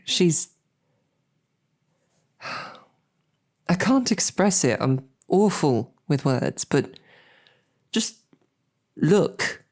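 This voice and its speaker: An actress playing a smitten lowborn royal guard in an audio roleplay, with a halting, self-deprecating, earnest way of speaking. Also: female voice, a little mellow, exaggerated voice. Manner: halting, self-deprecating, colloquial tag questions. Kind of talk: scripted audio roleplay, halting heartfelt banquet speech.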